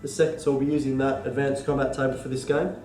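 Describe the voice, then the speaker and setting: A congested Australian man talks through tabletop rules, pausing often with ums and false starts. His voice has a mellow, dark tone, and it sits in an echoey room with soft music underneath. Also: medium pitch, level tone. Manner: halting, many ums and restarts. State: congested from a cold. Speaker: board game designer demonstrating rules. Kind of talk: casual tabletop rules walkthrough.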